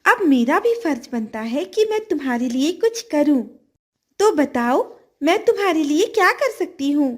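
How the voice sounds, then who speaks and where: A woman voicing a kind, grateful magical golden fish in a children's fairy tale, gentle and earnest in manner. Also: sits high, animated, normal speed.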